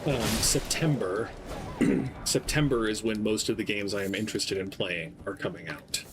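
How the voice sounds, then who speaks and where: A young man streaming a game, talking in a gentle, soft-spoken, rambling way with lots of ums. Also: on the low side, steady tone, normal speed. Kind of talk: casual gameplay stream chat. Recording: game music playing behind. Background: game music.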